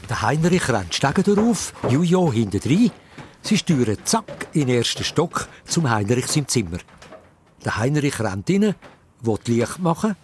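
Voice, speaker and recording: Animated Swiss German man narrating. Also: medium pitch, a little slow, a little hiss or hum. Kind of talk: dramatic children's radio play narration.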